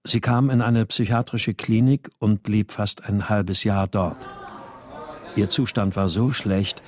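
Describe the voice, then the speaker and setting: An actor playing a brooding, grieving writer who narrates a radio drama in a subdued, introspective manner. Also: male voice, on the low side, fairly even intonation, slow pace, no room sound. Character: brooding married male writer, grieving missing daughter. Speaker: narrator and lead character. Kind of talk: first-person radio drama narration and dialogue.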